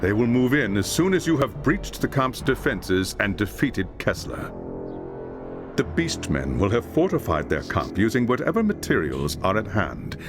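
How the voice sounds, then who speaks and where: A man's voice: lowish voice, very animated, normal speed, music in the background. An actor playing a stern male leader in a fantasy video game, briefing a warrior with solemn authority. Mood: urgent, resolute.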